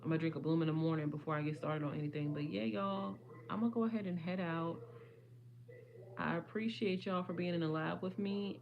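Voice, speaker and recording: A woman, her voice slightly hoarse, chatting casually and rambling to viewers on a livestream. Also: lowish voice, a little mellow. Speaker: vlogger.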